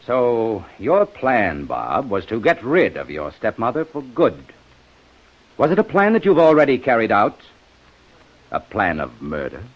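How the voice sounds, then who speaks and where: Sharp 1950s radio inspector, american, grilling suspects, lively pitch swings, muffled vintage sound. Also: male voice, slight background noise. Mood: stern, suspicious. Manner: probing, accusatory, deliberate. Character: sharp, methodical male police inspector questioning suspects. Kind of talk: 1950s radio detective drama acting. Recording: dull vintage radio recording.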